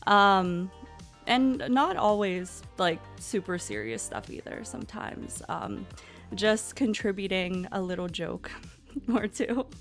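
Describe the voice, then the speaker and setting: A woman, a podcast co-host and commentator, talking in a measured, explanatory way during a casual interview. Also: medium pitch, lively intonation, faint music behind.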